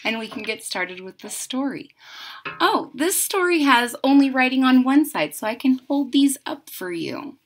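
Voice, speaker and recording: A woman's voice: high voice, big pitch swings, moderate pace, slight background noise. A woman in her thirties or forties reading a children's picture book aloud, with dramatic emphasis and chatty asides. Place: room at home.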